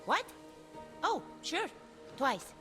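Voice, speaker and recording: A woman's voice: sits high, sing-song, extremely slow, pushed and bright, heavily acted. A woman voicing a small, angry, bossy child goddess in a video game, feisty and imperious, with music behind her. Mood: irritated. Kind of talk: animated video game voice acting.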